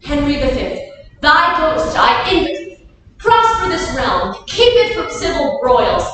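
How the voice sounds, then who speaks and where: A woman's voice: high voice, big pitch swings, slow, a bit bright, full character voice. A woman playing a grieving, martial English duke in a declamatory staged reading of Shakespearean verse. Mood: solemn appeal. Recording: very echoey hall from far off, faint noise.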